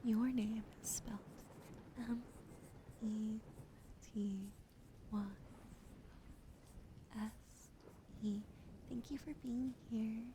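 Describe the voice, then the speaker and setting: A woman whispering softly and sleepily on an ASMR livestream. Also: on the high side, fairly even intonation, a little hiss or hum, rough recording. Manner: soft, slow, sleepy whispering. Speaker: ASMR streamer.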